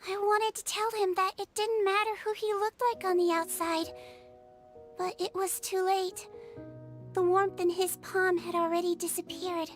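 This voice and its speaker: A woman voicing a small, gentle, childlike female healer creature in a video game, calm and matter-of-fact. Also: extremely high pitch, moderate pace. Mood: wistful, gentle. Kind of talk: video game voice acting, storytelling. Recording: music under the voice. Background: game music.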